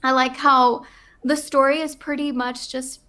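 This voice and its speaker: Excitable girl panelist, high voice, gushing and poking fun at herself. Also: a little slow. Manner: bubbly and excitable, self-mocking. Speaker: regular panelist. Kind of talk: casual chat about games.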